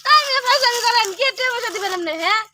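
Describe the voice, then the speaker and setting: A woman's voice: very high voice, very fast. A woman acting an exasperated village woman in a comedy sketch, indignant and complaining, with a rural Bangladeshi Bengali accent, on a noisy recording. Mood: indignant, suspicious. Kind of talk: improvised comic dialogue.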